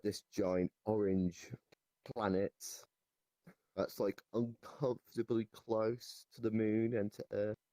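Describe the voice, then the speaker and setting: A young man with a very deep, somewhat mellow voice improvises comedy in casual chat. He speaks deadpan and hesitantly, and the sound is very dry, with no room echo. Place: online voice chat in a virtual reality game.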